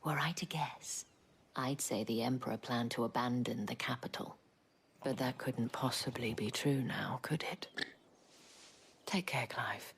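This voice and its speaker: An actress playing a composed courtesan-house madam in a game cutscene, speaking low and breathy with measured, formal, knowing phrasing. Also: female voice, full character voice. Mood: hushed, knowing. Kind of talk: dramatic video game cutscene acting. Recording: loud music under the voice.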